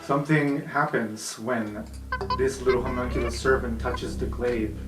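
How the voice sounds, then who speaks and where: A man's voice: mid-range voice, fairly even intonation, a little slow, far from the mic. A man running a tabletop game as game master, improvising narration and giving rulings. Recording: echoey room, off mic, music underneath.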